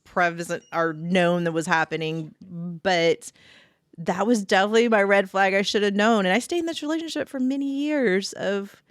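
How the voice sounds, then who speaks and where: A woman's voice: mid-range voice, moderate pace, no room sound, clean studio sound. A woman co-hosting a podcast, speaking in an earnest, confessional, emphatic way. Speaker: podcast co-host. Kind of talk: casual chat.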